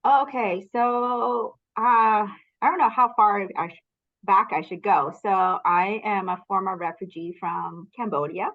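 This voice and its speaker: A middle-aged woman from Canada speaks as an interview guest, candid and motivational as she shares her path. Her voice sits at an ordinary pitch for a woman. The recording sounds a little muffled and lo-fi. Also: unhurried. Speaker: guest, business coach. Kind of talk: casual interview storytelling.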